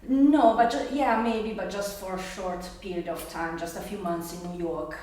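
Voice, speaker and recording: A woman's voice: medium pitch, moderate pace, darkish tone. Middle aged woman chatting casually, english isnt her first language, far from mic, bit of noise. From born in Germany, raised in Italy. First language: not English. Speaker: conversation partner in English-learning video. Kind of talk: casual getting-to-know-you chat. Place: echoey room. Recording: very echoey room, distant mic, faint noise.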